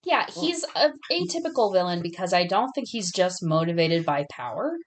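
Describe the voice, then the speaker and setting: A woman with a voice a little lower than most talks as a podcast co-host. She is conversational and opinionated, as in an informal chat about films. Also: big pitch swings, normal speed. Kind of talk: casual film discussion chat.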